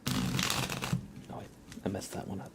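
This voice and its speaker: A man hosting an ASMR stream in a soft, breathy half-whisper, speaking gently and informally. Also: slow, noisy background. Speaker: ASMR livestream host.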